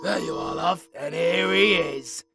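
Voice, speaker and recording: A man with a British accent playing a blunt, foul-mouthed, irate character in a zombie horror audio drama, with a rough voice. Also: fairly high pitch, unhurried, over music. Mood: rueful. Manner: blunt, sweary. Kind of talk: horror audio roleplay acting.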